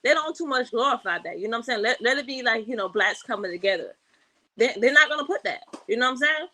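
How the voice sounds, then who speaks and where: Bright animated woman in casual talk. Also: a little high, fast. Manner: animated, keeps saying "you know what I'm saying". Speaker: guest. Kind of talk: casual discussion.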